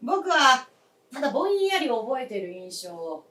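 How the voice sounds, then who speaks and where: On an echoey stage, some way from the microphone, a middle-aged man in a radio play. He speaks in measured, musing phrases as a reflective grown son. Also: very high voice, very animated, pushed and bright, exaggerated voice. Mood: wistful, musing. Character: reflective middle-aged son remembering his late mother. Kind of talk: staged radio drama acting. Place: theatre stage. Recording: echoey stage captured from far off.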